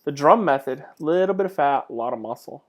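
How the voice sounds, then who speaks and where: A man's voice: fairly high pitch, lively intonation, a little fast. Casual male lecturer talking through slides. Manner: conversational, self-deprecating asides, rhetorical questions. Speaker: lecturer, clinical instructor.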